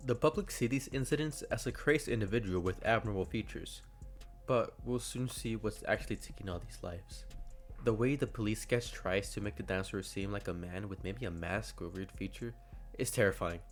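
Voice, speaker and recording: A man's voice: fairly low pitch, normal speed. A man hosting a video, giving scripted analysis commentary. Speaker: YouTube host. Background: faint music.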